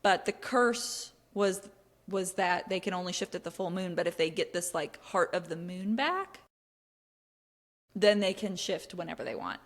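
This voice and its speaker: A woman's voice: lowish voice, normal speed, in a large hall. A woman co-hosting a book podcast, explaining things in an informal, chatty way. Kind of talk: casual book discussion.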